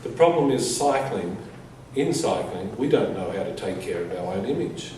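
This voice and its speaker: A man with a soft, mellow voice reads a prepared statement aloud at a conference. He goes in measured, deliberate phrases. The room is heavily reverberant and he sounds some distance from the mic, with faint noise behind him. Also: fairly low pitch, animated. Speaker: conference speaker. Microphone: distant from the speaker.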